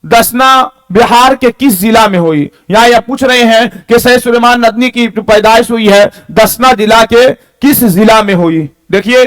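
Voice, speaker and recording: A man's voice: very high-pitched, a bit bright. A male teacher. He delivers an exam-prep lesson didactically, reading each question and answer aloud. He repeats key points and often checks that learners understand.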